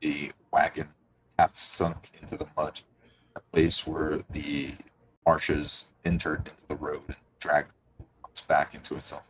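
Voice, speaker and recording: A man narrating the scene as game master of a tabletop role-playing game, slowly and descriptively, with pauses. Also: very deep voice, little pitch movement, a bit bright, poor audio.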